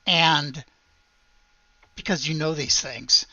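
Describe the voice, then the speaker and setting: A game master improvising tabletop game narration in a halting, hesitant way. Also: mid-range voice, steady tone, sharp tone, no echo, muffled recording.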